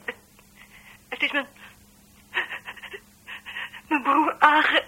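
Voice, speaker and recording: A woman's voice: high voice, steady tone, very slow, bright, forceful tone, heavily acted. An actress playing a shocked, tearful woman on the telephone in a radio drama, stammering and repeating herself as she reports a death. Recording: distant voice on telephone line.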